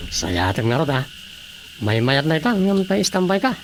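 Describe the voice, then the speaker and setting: A man's voice: a little high, very animated, normal speed, a little hiss or hum. An actor playing a village councilman in a radio drama, muttering to himself as he struggles to write. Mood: grumbling. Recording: cricket and insect night ambience.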